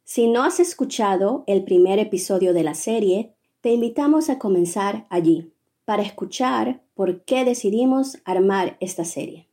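Warm-toned woman co-host. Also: mid-range voice, animated, normal speed. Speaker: podcast co-host. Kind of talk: scripted podcast intro.

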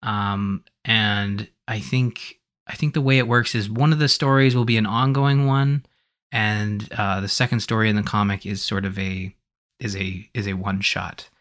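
A man hosting a podcast in casual conversation, talking in an animated, rambling way as he thinks aloud. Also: on the low side, normal speed. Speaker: podcast host.

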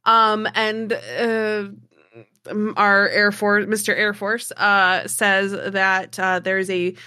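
Female player, bright forceful voice at middling pitch, wry and halting, very dry sound. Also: no room sound. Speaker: tabletop game player. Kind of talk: casual improvised in-character talk.

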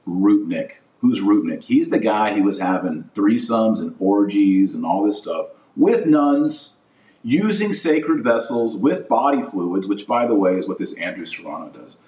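A man's voice: lowish voice, darkish tone. A middle-aged man hosting a podcast, giving emphatic opinion commentary in short, repeated declarative phrases. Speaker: podcast host. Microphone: webcam setup. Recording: roomy echo, distant microphone.

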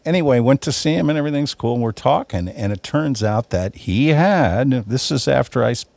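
A man co-hosting a podcast, telling stories in casual, somewhat halting conversation. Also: medium pitch, expressive, creaky, a little mellow, dry recording. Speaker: radio show podcast co-host.

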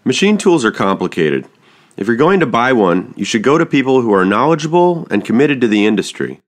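A man hosting a podcast, reading the intro and a sponsor ad clearly and steadily, then asking his guest questions. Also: medium pitch, fairly quick. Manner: clear, steady read; spells out web addresses. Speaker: podcast host. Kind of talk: podcast intro, ad read and interview.

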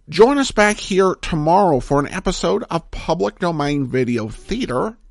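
A man's voice: a little high, creaky, a bit bright, no echo, studio quality. A man hosting a podcast, giving casual, explanatory commentary in a somewhat hesitant way. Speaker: podcast host.

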